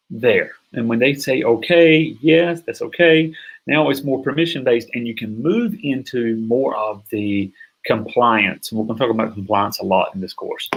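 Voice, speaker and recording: A middle-aged man teaching a sales course in an energetic, emphatic way, often stopping to check that listeners follow. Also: medium pitch, gravelly, breathy edge, rough recording. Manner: energetic, emphatic, acts out sample calls. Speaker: sales coach. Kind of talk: online course lecture.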